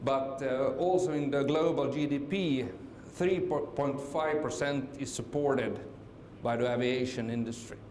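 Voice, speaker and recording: A middle-aged man from Finland gives a conference talk, stopping often with ums and repeated words. His mid-pitched voice rings around a large echoey hall. A faint hiss sits under the speech. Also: measured pace, hardly any pauses, darkish tone. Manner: hesitant, many fillers and restarts. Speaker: conference speaker. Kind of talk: conference presentation.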